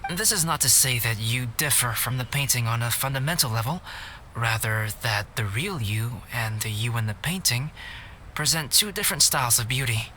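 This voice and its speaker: A man voicing a calm, cultured young artist in a video game, speaking in a measured, formal, politely aloof way. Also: mid-range voice, slight background noise. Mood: gentle, reflective. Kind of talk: scripted game voice acting.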